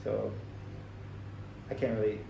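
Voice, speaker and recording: An American man, a teacher, answering interview questions in a relaxed, plain-spoken way with many "like" fillers. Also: on the low side, slow pace, gravelly. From United States. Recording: roomy, distant and slightly noisy.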